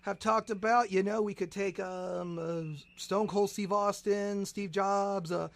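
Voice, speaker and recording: Guy hosting a comedy radio show, high creaky voice, over the top dramatic, repeats himself a lot. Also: fairly even intonation, measured pace. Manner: bombastic, stammering with repeated words. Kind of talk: comedic radio interview in mock-dramatic bits.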